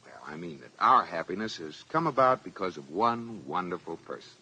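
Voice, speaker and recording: An actor playing a dry, exasperated banker husband in 1940s radio comedy style, with sarcastic, deadpan comebacks. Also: male voice, low-pitched, brisk, breathy edge, exaggerated voice, low-quality recording. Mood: sardonic. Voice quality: sardonic, deadpan.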